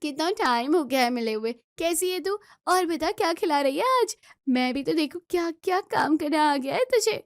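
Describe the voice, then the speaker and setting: A woman's voice: sits high, expressive, moderate pace, no room sound, studio quality, voice pushed up. A woman voicing a teasing, mocking young woman visiting her friend in a family drama story. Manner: cheeky, mocking.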